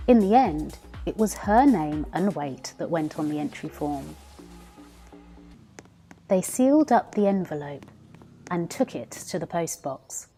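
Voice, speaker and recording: A woman storyteller reading a children's story in expressive scripted narration. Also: low-pitched, unhurried, pauses often, soft background music. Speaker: professional storyteller.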